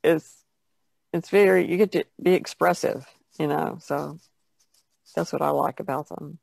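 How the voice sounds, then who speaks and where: A woman's voice: low-pitched, steady tone, a little slow, vocal fry, darkish tone, no room sound, low-quality recording. A woman painter chatting casually as a podcast guest, explaining loosely with trailing asides. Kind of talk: casual chat about painting.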